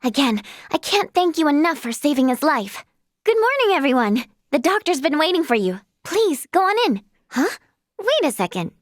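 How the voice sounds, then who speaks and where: A very high, girlish voice for a plucky young healer in a video game. She is earnest and polite, with an expressive, informal delivery. The recording is dry and close. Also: normal speed, no echo. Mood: bright, urgent. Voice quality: girlish, youthful. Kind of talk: scripted game character dialogue.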